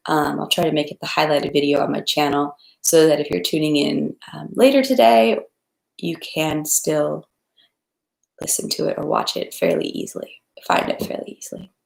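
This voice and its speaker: A woman with a fairly low voice and a good deal of fry hosts a read-aloud. She is informal and chatty, and expressive when narrating. The recording is roomy, with clear echo. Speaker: host reading a novel aloud for online viewers. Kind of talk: casual introduction, then expressive storybook narration.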